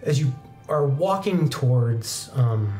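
Mellow young man narrating over music. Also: medium pitch, animated, slow pace. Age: young adult. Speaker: game master. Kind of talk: narrating a tabletop roleplaying game. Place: indoor studio set, seated at a desk. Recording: background music under the voice.

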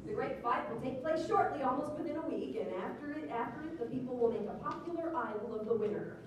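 A woman with a fairly high voice reads old print aloud with theatrical formality. She sounds distant in a very echoey hall, with clear noise around her. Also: fast. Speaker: reader at a live literary reading. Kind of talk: theatrical reading aloud of old newspaper articles. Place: museum lecture hall with live audience. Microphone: stand microphone on stage, distant camera recording. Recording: echoey, noisy hall recorded from far off.

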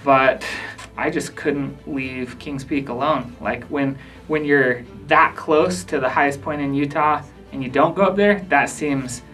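A man's voice: mid-range voice, a little slow, fairly bright tone, faint music behind. A man in his thirties, a hiker, talking casually in a vlog style. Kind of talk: casual trail vlog talk and recollection.

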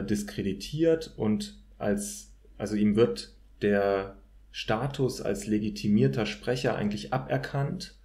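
A man speaking as an expert guest, analysing in deliberate, academic phrasing. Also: lowish voice, warm tone. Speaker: guest expert, political scientist. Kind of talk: analytical discussion.

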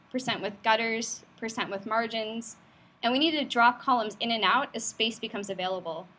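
A woman's voice: medium pitch, bright, forceful tone. A woman presenting at a meetup in an office, talking in a friendly, upbeat, casual way with frequent fillers and asking the audience questions. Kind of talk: conversational tech talk. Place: company office meetup room.

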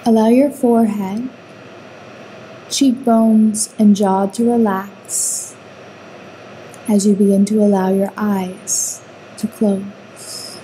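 Slow, dark-voiced woman guiding meditation. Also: medium pitch, steady tone, a little hiss or hum, low-quality recording. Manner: soothing, unhurried instruction with long pauses. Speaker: meditation guide. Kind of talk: guided sleep meditation.

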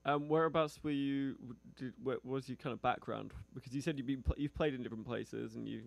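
A male radio host with a foreign accent, interviewing a musician in a relaxed, hesitant way. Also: medium pitch, a little fast, vocal fry. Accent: foreign, non-local. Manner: relaxed, hesitant, many fillers. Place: small radio studio. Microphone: studio mic on a stand.

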